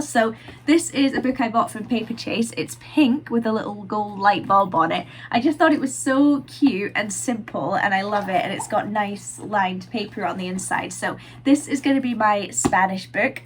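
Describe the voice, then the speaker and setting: A woman's voice: mid-range voice, slight background noise. Chatty young woman, stuffy-nosed. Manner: chatty, lots of "like" and "um". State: stuffy nose from a cold. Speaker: vlogger and university student. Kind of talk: casual chatty vlog. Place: her room at home.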